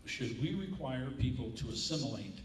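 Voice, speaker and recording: A man, moderating a candidate forum and posing a question in a measured, deliberate way. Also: mid-range voice, very animated, slight background noise. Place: community forum room. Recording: echoey room from far off.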